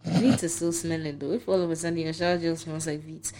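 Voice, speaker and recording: A young woman speaks a little lower than most. In casual chat she comes across blunt and emphatic, leaning hard on her opinions. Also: little pitch movement, fairly quick, dark tone.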